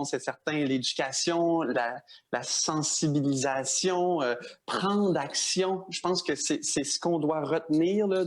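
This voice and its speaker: A man hosting a discussion, talking in a relaxed, informal way with fillers. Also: on the high side. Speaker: host. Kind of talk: conversational moderating.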